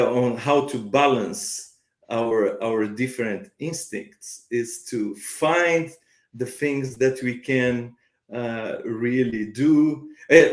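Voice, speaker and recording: A man hosting an interview, hesitantly with many fillers and restarts. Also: medium pitch. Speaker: podcast host. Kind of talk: conversational interview. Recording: roomy reverb.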